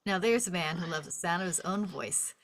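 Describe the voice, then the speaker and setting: A woman with a slightly low voice narrates a screenplay. She reads the stage directions as scripted narration in an informal table read. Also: a little fast, a bit bright.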